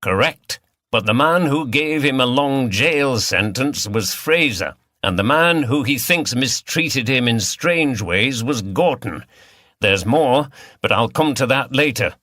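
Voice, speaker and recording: A man voicing an authoritative, brisk police detective in charge in a mystery audiobook. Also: medium pitch, expressive, sharp tone, dry recording, studio quality, pitched above the performer's own voice. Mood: grave, explaining. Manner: authoritative, explanatory.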